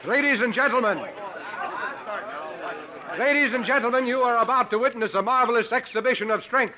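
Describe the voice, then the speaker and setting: A man's voice: very high-pitched, brisk, some chatter behind. An actor playing a confident, articulate travelling strongman and sleuth in a 1950s radio drama, with formal theatrical phrasing. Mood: proclaiming. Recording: muffled vintage 1950s radio recording.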